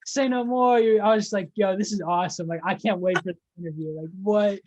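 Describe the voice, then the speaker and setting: A young man with a notably high voice hosts an informal interview. He chats in relaxed slang and sounds appreciative of the person he is talking to. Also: brisk, lo-fi sound. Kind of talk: casual interview chat.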